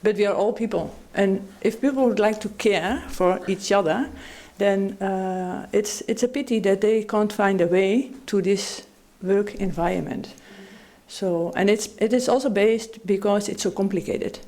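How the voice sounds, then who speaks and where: Woman guest on a TV panel, mellow and dark tone, thoughtful, pausing often. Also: mid-range voice. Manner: thoughtful, many fillers and pauses. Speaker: panel guest, medical anthropologist. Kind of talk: studio talk show discussion. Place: TV studio.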